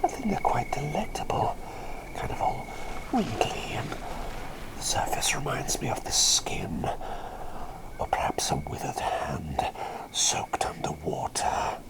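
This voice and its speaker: An eccentric, excitable plague doctor played by a man murmurs in a breathy whisper for an ASMR roleplay. The delivery swoops widely up and down with a crackly fry at the edges. He is doting and easily sidetracked, politely repeating his phrases. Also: medium pitch, unhurried, long pauses, full character voice. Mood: flustered, musing. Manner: rambling, easily distracted, repeats phrases, politely old-fashioned.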